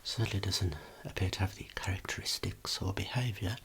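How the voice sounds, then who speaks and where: Whispering low-pitched ASMR doctor roleplayer. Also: fairly quick. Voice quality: soft, breathy whisper. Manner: gentle, reassuring, clinical. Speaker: ASMR performer roleplaying a dermatologist. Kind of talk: ASMR medical examination roleplay.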